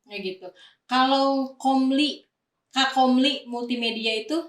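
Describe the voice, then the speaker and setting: High-voiced chatty woman host in echoey room. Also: fairly even intonation, a little slow. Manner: informal, chatty, frequently echoing the guest. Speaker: podcast host. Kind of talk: casual chat interview.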